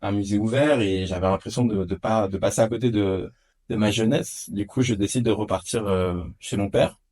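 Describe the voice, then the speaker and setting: A man in his thirties from France, a podcast guest telling stories of his youth in a casual, animated way with many hesitations. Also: normal speed. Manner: animated, lots of filler hesitations. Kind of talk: casual storytelling. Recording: dull low-fidelity podcast audio.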